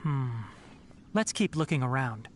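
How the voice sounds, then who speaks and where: A man acts out a pensive young scholar in a video game. The delivery is measured and analytical, yet the pitch moves in a lively way. Faint noise and ambience sit underneath. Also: natural voice. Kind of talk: video game dialogue acting.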